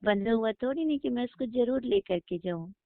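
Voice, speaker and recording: A woman's voice: on the high side, lively intonation, a little fast, vocal fry, no room sound. A middle-aged woman, chatting casually in a teasing, coy, flirtatious way on a phone call. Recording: muffled narrowband phone call recording.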